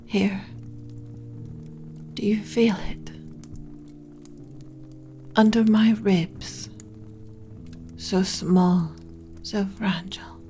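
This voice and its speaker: A woman's voice: on the low side, dark tone. A woman playing an ancient, yearning undead lich queen in an intimate, whispery ASMR romance roleplay, speaking close to the mic with solemn, formal drama. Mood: tender, fragile. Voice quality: breathy, whispery. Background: music.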